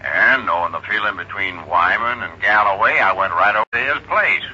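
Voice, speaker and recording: An American man playing an eager, dutiful policeman reporting to his sergeant in a 1950s radio drama, crisp and deferential. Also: fairly low pitch, moderate pace, bright, forceful tone, full character voice. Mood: urgent, reporting. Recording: muffled, noisy 1950s radio recording.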